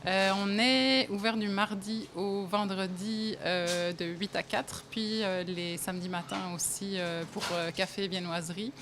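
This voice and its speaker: Young woman from belgium, café owner, lots of ums and little laughs, medium pitch, faint noise. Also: steady tone, measured pace, few pauses, a bit bright. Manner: hesitant, many fillers, laughs often. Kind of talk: casual interview. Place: café, indoors.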